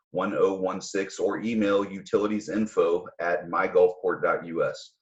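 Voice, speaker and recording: A man speaking as a mayor, reading a formal public address from notes in a measured, careful way. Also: sits low, muffled recording. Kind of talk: formal public announcement read from prepared notes.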